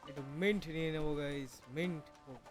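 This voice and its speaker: A man's voice: a little high, rapid-fire. A man giving chatty, casual gameplay commentary to his viewers, mixing in English words. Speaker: gaming streamer. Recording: game music behind the voice.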